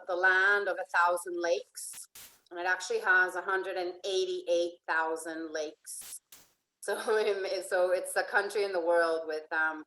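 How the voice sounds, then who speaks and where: Woman presenter, low-ish voice, halting and filler-heavy, soft trailing endings. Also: low-quality recording. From Finland. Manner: hesitant, many fillers and restarts; trails off softly at phrase ends. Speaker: life and relationship coach. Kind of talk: slideshow presentation.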